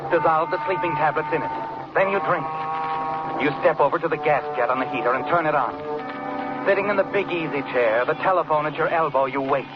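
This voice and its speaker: Actor as taunting omniscient narrator, dramatic, ominous, 1940s radio suspense, loud music behind, dull old recording. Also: male voice, a little high, brisk, lightly acted. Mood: ominous, urgent.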